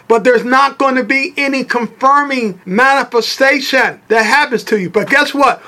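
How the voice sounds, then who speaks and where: A man's voice: very high voice, pushed and bright. A man preaching fervently on his podcast, repeating phrases as he builds his points. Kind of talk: sermon.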